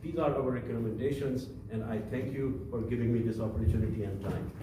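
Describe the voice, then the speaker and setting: A male activist gives testimony and reads out demands, halting and restarting as he goes. His voice is caught from far off in a very reverberant room, with clear background noise. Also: mid-range voice. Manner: halting, listing items with restarts. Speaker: activist speaker. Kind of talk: testimony and list of demands at a panel. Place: large conference room. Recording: echoey room, distant mic, noisy.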